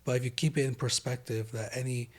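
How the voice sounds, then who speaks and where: A man from Taiwan, a law firm owner guesting on a podcast in a studio, talking in a steady, plain-spoken way. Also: mid-range voice, fast talker. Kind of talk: casual interview chat.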